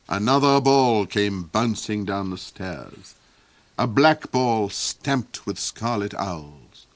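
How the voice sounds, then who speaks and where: A man reading a fairy tale aloud as narrator, expressive and theatrical. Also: fairly low pitch, no echo. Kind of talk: storybook reading.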